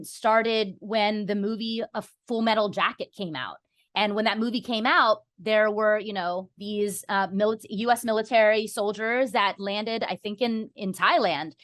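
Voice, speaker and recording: A woman's voice: mid-range voice, steady tone, normal speed, a bit bright, dry recording, clean studio sound. A woman guest on a podcast explaining her views conversationally, earnest and articulate, often saying "you know".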